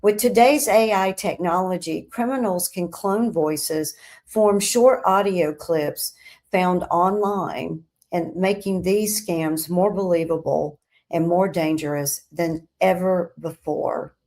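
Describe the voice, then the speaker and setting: A woman hosting a scripted explainer, speaking in deliberate, emphatic phrases with pauses. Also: fairly low pitch, darkish tone. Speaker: host of a crime statistics show.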